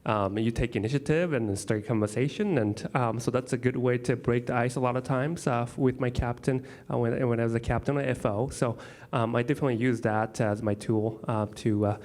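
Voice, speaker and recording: A man from Japan, a pilot with a Japanese accent, answering on a panel in a hesitant way with many pauses and restarts. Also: mid-range voice, steady tone, darkish tone.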